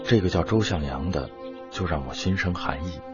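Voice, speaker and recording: An actor playing a weary young teacher who narrates his hardship in a radio drama, measured and reflective. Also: low voice, lightly acted. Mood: brooding, reflective. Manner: measured, brooding. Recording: dull recording under loud music. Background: loud music.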